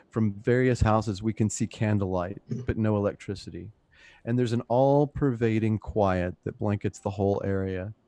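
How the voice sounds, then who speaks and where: A man's voice: on the low side, dark tone, no echo. A man reading stage directions aloud as the narrator of a radio play. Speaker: host and narrator.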